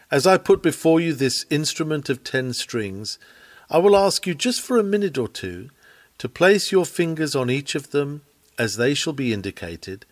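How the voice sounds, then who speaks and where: A man reading a written sermon aloud in an earnest, oratorical manner with measured phrasing. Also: mid-range voice. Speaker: sermon reader.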